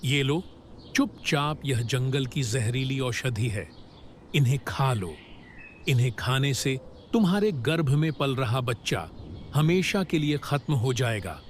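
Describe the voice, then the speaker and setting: A man's voice: mid-range voice, lively intonation, a little slow, a little hiss or hum, lightly acted. A man voicing a callous, arrogant philandering king, the villain of a melodramatic cartoon. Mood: cold, dismissive.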